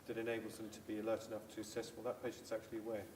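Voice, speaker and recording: Low-voiced man, distant and echoey. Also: monotone, racing, darkish tone. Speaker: hospital clinician. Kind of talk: panel discussion. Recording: echoey room, distant mic, faint noise.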